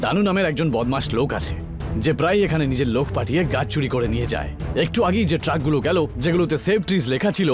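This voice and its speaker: A man's voice: on the high side, expressive, rapid-fire, music in the background, natural voice. A man voicing an earnest, protective forest guardian in a children's cartoon, explaining firmly.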